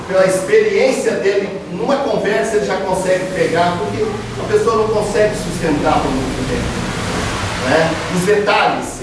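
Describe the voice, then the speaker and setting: A man giving an earnest religious lecture to a live audience, explaining and repeating phrases for emphasis. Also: sits high. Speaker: speaker giving a public spiritist lecture. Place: lecture room at a spiritist house. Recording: very echoey hall, distant mic, background noise.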